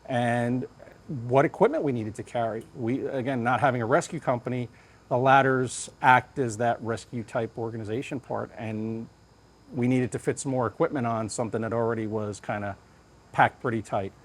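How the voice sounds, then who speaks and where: A man being interviewed as a fire lieutenant, talking conversationally and deliberately in short, thought-out phrases. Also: medium pitch, fairly even intonation.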